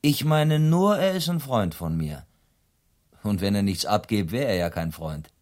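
Shady cop, low voice, chummy and insinuating, radio play. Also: male voice, lively intonation, measured pace, darkish tone. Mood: sly, matey. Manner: colloquial, insinuating. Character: sly, matey, corrupt policeman hinting at a deal. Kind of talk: German radio play acting.

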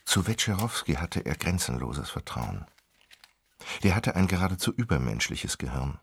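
A man narrating a radio play in a scripted literary style, with a deep, gravelly voice. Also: big pitch swings, normal speed, a little hiss or hum.

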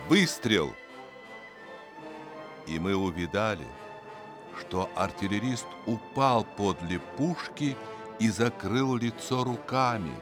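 A man reads a story as an audiobook narrator, in an expressive, suspenseful storytelling manner. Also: on the low side. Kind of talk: dramatic scripted story narration. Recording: music behind the voice. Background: music.

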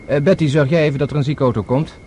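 Actor as a quick-talking, courteous male official. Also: on the high side, fairly even intonation, warm tone, slight background noise, natural voice. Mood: polite, reassuring. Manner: polite, reassuring. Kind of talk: radio play acting.

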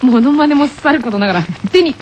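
A woman's voice: high-pitched, fast talker, background noise. A woman co-hosting a radio show, moving between scripted segments and playful, teasing chat.

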